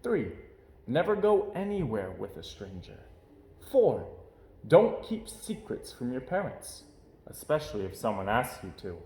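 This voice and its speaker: Animated man reading a children's storybook. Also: medium pitch, reverberant. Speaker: storybook reader. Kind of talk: animated children's read-aloud narration.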